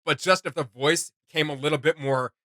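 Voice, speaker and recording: A man's voice: fairly high pitch, steady tone, moderate pace, a bit bright, no echo, clean studio sound. A man hosting a reaction video, enthusiastic and slangy, thinking aloud in casual commentary.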